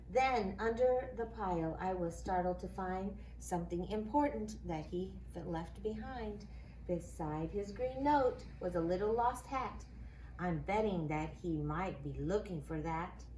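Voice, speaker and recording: A woman reading a children's picture book aloud expressively, with deliberate, dramatic phrasing. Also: lowish voice, a little mellow. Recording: echoey room, faint background noise.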